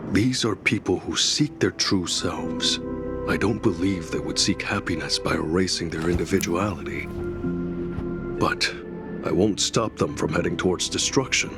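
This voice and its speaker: Deep-voiced weary night watchman. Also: unhurried. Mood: grave, resigned. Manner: solemn, resigned, formal. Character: weary, principled male night watchman, grave and restrained. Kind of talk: video game character acting. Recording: game music behind the voice.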